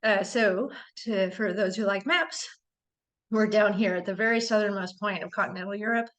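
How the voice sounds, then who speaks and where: A woman from North America giving a casual slide talk on birding. She is losing her voice, keeps clearing her throat and fills pauses with ums, but stays chatty and enthusiastic. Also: medium pitch, a bit bright.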